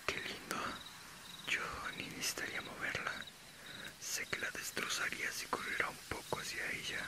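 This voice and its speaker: A man's voice: moderate pace, pushed and bright. Man playing a whispering, friendly young male werewolf. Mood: modest, friendly. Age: young adult. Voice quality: breathy unvoiced whisper. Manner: casual, unassuming. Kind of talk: whispered ASMR roleplay.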